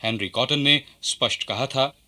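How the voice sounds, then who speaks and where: Bright-toned man narrating formal radio history. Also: mid-range voice. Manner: formal, measured delivery. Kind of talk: scripted radio documentary narration.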